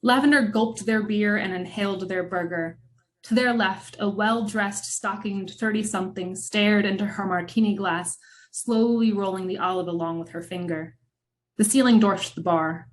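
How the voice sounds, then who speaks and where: Woman narrating a noir radio drama. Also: mid-range voice. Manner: measured, dramatic storytelling with wry asides.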